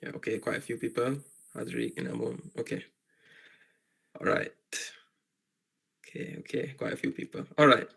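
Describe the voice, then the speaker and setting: A man's voice: mid-range voice, huge pitch swings, moderate pace, gravelly, long pauses, fairly bright tone, breathy edge. A young man with a Malaysian accent giving an informal online talk to students, often repeating words and rephrasing as he goes. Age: young adult. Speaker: medical doctor, guest speaker.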